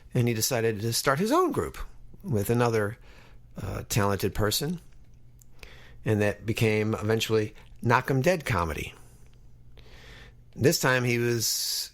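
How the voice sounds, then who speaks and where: A man's voice: mid-range voice, fairly bright tone. Middle-aged man hosting a casual podcast. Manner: conversational, talks directly to listeners. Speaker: podcast host. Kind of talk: casual podcast introduction to a guest.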